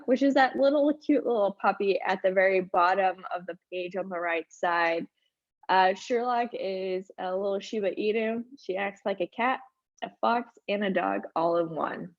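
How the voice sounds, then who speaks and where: A woman presenting at a webinar in a lively, conversational way, now and then sounding a bit strained from allergies. Her voice sits at a middle pitch and comes through a dry, slightly muffled call line. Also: moderate pace, dry recording. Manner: upbeat, conversational, chatty asides. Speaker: conference speaker.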